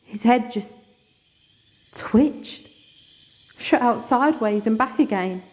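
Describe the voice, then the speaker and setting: A woman's voice: a little high, takes it slowly, darkish tone. An actress playing a fierce, caring female spaceship crew member in an audio drama. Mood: alarmed, irritated.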